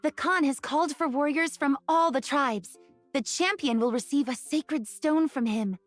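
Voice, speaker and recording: Actress as high-voiced, friendly young shepherdess. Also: female voice, normal speed, no room sound, faint music behind, natural voice. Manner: bright, forthright, welcoming. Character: kind, friendly young shepherdess from a grassland tribe. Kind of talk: game character dialogue.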